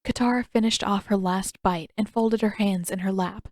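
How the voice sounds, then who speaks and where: A woman's voice: medium pitch, steady tone, normal speed, darkish tone, dry recording. Woman narrating fiction, very dry sound. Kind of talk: scripted audiobook narration.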